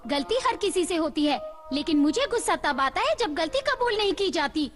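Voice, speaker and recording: A woman's voice: very high voice, very animated, normal speed. A woman voicing a strict, scolding mother in a dubbed cartoon, over music. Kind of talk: Hindi-dubbed cartoon acting. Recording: loud music behind the voice.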